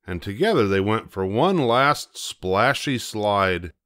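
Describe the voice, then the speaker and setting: Older man reading slowly, animated. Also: on the low side, no room sound, clean studio sound. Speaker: storybook narrator. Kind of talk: expressive children's story reading.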